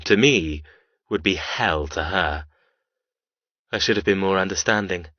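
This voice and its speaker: Casting: male actor as cruel young dark-romance antihero, low voice with a hard, forceful edge, brooding audiobook delivery. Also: dry recording, highs cut off. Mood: cold, brooding. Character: cold, cruel, menacing young man narrating dark romance. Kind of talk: dramatic first-person audiobook narration.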